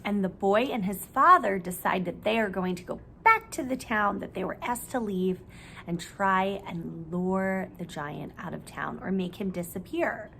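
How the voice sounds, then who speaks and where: A woman teacher reading a picture book aloud expressively, pausing to explain things in simple words for young children. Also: mid-range voice, vocal fry, sharp tone, slight background noise.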